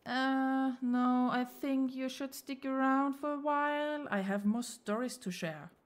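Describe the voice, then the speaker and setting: A woman's voice: high voice, unhurried. A woman playing a podcast creator in a homely audio skit, speaking in a casual, understated manner. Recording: noisy, slightly rough recording.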